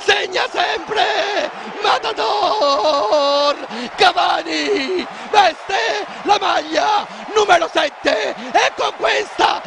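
A man's voice: very high-pitched, gravelly, noisy background. A man giving wildly partisan live football commentary, screaming goal calls and theatrical pleas.

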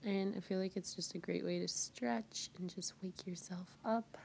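A young woman narrating her morning routine in a casual, soft-spoken way while getting over a cold. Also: fairly low pitch, normal speed, vocal fry, slight background noise. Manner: relaxed, soft-spoken, with light laughs. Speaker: vlogger. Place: bedroom at home.

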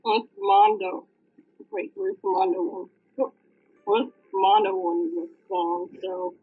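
A woman's voice: medium pitch, little pitch movement, a little slow. A woman reading fan fiction aloud casually, with informal, sweary joking asides. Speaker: fan fiction reader and commentator. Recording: thin, phone-like lo-fi audio.

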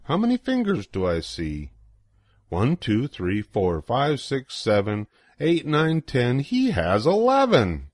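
An older man reading a children's picture book aloud in an expressive, sing-song way with deliberate pauses. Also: mid-range voice, no room sound, clean studio sound. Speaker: storybook reader.

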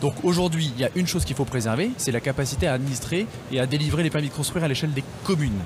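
A man's voice: on the high side, very fast. A man who is a mayor, answering a radio interview with firm, fluent arguments at a trade fair stand. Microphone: table radio mic with headphones. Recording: noisy trade fair hall.

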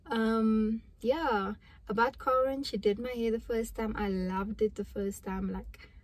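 A woman's voice: fairly high pitch, measured pace. A young woman talks casually into a phone camera while sitting in a parked car. Her delivery is chatty and rambling, full of small asides and restarts, like a personal vlog. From South Africa. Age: young adult. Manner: chatty, rambling, animated hand gestures. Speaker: vlogger. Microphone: phone camera at arm's length.

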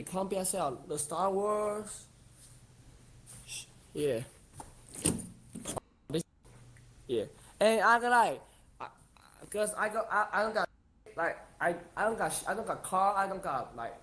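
A young man carries his phone from room to room, showing his house to a livestream audience. He speaks in halting, broken phrases and repeats his words as he goes. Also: sits high, a bit bright, slight background noise. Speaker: streamer. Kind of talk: casual livestream house tour. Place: house rooms, walking with phone.

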